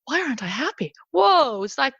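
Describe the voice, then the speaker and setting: A woman's voice: high-pitched, sing-song, moderate pace, vocal fry, a bit bright, dry recording, muffled recording. An Irish woman in her fifties, a guest telling her story in a casual interview and laughing often. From Ireland. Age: 50s.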